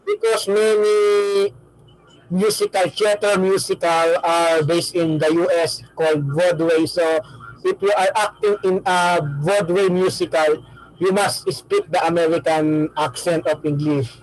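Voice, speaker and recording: A man's voice: high-pitched, flat delivery, measured pace, no room sound, low-quality recording. A man hosting a casual interview, speaking in short, repeated phrases and restarting his questions. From Philippines. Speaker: show host.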